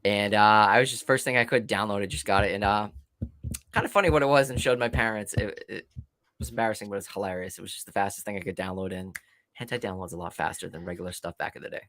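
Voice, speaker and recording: Fast-talking, profane man in his thirties. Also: on the low side, fairly even intonation, fairly bright tone, dry recording. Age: 30s. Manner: rapid, profane, storytelling. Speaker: livestream guest. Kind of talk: casual chat.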